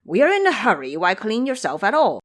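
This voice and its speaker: A woman's voice: medium pitch, huge pitch swings, a little fast. A woman voicing a male pig disciple, a goading and placating younger brother, in an audio drama. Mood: urging. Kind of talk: audio drama character acting.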